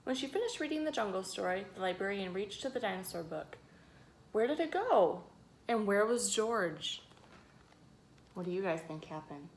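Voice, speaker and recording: A woman reads a picture book aloud in a teacher's storytelling style for young children. Her voice sits at a medium pitch and she is expressive, addressing the listeners directly. There is faint noise behind her in the recording. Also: normal speed.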